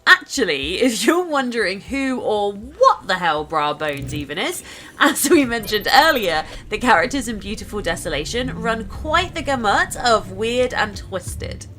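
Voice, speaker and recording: Chatty woman doing a gameplay voice-over, bright sharp voice, high-ish, upbeat. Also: big pitch swings, a little slow. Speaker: gameplay narrator. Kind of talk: narrated gameplay video. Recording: voice-over with game music behind. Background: music.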